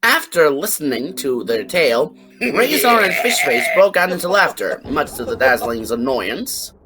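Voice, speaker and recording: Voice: medium pitch, big pitch swings, moderate pace, over music, rough recording. A narrator reading a scripted fan fiction story aloud.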